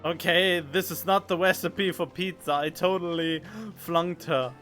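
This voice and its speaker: A woman's voice: fairly low pitch, steady tone, a little slow, a bit bright. A woman giving casual let's-play commentary, reacting with self-deprecating, sweary asides. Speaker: game streamer. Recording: faint game music behind.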